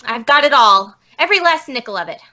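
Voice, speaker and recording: A woman's voice: high voice, lively intonation, fast talker, pushed and bright. A woman playing a bitter, indignant forger, a failed actress who poses as an old lady, in a radio police drama. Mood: bitter, defeated.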